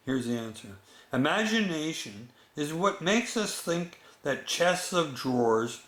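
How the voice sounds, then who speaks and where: A man telling a bedtime story in an unhurried, expressive way, with chatty asides to young listeners. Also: medium pitch. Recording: echoey room.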